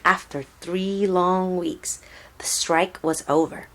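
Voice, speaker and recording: Female storybook narrator, lower register, slow and deliberate, expressive read-aloud delivery. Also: slight background noise. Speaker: storybook reader.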